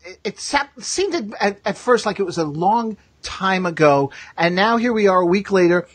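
Male podcast host, husky, chatty and informal, dry close sound. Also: sits high, lively intonation, normal speed, dry recording. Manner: chatty, repeats himself. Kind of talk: casual chat, live podcast.

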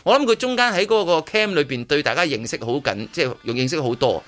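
A man's voice: a little high, expressive, fairly quick, a bit bright. A man with a Hong Kong Cantonese accent hosting casual radio chat, opinionated and emphatic, often repeating words. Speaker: radio show host.

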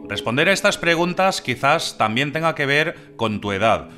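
A man at a mid-range pitch narrates a scripted explainer as a channel host. He lays out points in a measured, explanatory way and throws rhetorical questions at the listener, with clear background music playing under him. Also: sharp tone. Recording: clear music bed behind the voice.

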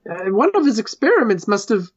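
A man's voice: high-pitched, big pitch swings, a little fast, breathy edge, dull audio, pitched above the performer's own voice, heavily acted. A man voicing a timid, unsure squirrel townsperson in a hesitant, stuttering way. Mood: nervous.

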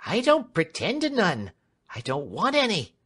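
A man voicing a warm-hearted, excitable serving woman in an audiobook, with a plain, artless, emphatic way of talking. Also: sits high, unhurried, breathy edge, pitched above the performer's own voice, heavily acted. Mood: frank, unbothered.

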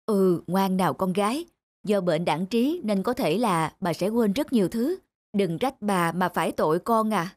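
A woman voicing a gentle, caring young mother in a cartoon, with a soothing, reassuring manner. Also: mid-range voice, expressive, moderate pace, no room sound, close to an everyday voice. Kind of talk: cartoon voice acting.

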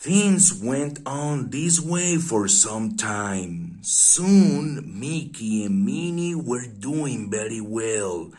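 A man reading a fairy tale aloud for young children in a deliberate, expressive way, with pauses. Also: mid-range voice.

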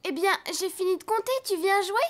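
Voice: extremely high pitch, a little fast, no room sound. A performer voicing a bubbly, busy little child donkey in a children's cartoon, childlike and emphatic. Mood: inviting. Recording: music clearly behind the voice.

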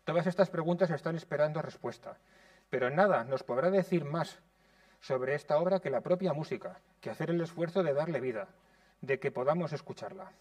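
A man in his thirties from Spain, reading a prepared lecture in a measured way in a conservatory lecture room. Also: a little high, darkish tone, no echo, far from the mic. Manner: measured, reads from notes.

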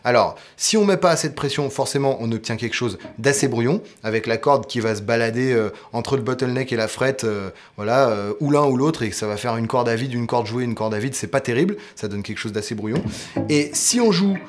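A man's voice: mid-range voice, a little fast. A man, teaching guitar to camera, explaining step by step. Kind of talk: instructional talk to camera. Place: indoor guitar studio with amps. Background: electric guitar playing.

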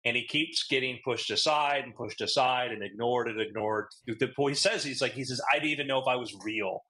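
A man's voice: mid-range voice, brisk, bright, forceful tone. A man co-hosting a podcast, telling a rambling story in casual chat, recorded on a close webcam in a room at home. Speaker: podcast co-host.

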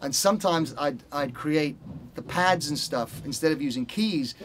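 Middle-aged guy, musician in an interview, voice a bit high, chatty, kinda searching for words. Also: animated, normal speed, a bit bright, slight background noise. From Spain. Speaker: guitarist and producer, interview guest. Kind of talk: casual interview chat. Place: recording studio control room, seated.

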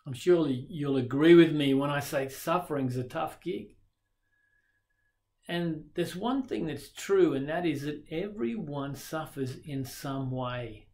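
A man's voice: a little high, slow, a little mellow. A man in his sixties with an Australian accent, preaching a recorded sermon straight to camera from a small dim room, earnest and reflective. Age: 60s. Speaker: pastor. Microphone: webcam facing him at desk distance.

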